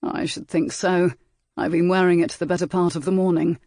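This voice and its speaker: A woman reading the lines of a gruff, sardonic young gentleman in an audiobook. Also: high-pitched, little pitch movement, a little fast, no room sound, studio quality. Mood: brusque. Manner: gruff, brusque.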